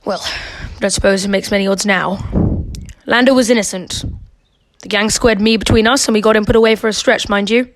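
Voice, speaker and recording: A woman's voice: medium pitch, steady tone, a little fast, no room sound, natural voice. Radio play role: dogged, suspicious bent policeman, blunt and insistent, dry close sound female performer. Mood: blunt, impatient. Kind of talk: amateur radio play acting.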